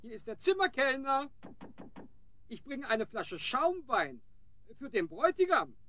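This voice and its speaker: A man's voice: extremely high pitch, sing-song, a little slow, bright, forceful tone, muffled recording, slight background noise, exaggerated voice. A man playing an indignant rogue henchman in an audio drama, with a blustering, theatrical manner. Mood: falsely polite.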